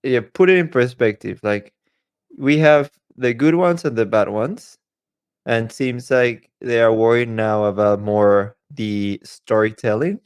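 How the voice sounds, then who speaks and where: A man's voice: medium pitch, measured pace, clean tone, no echo. A man talking casually as a podcast co-host, with slightly non-native phrasing.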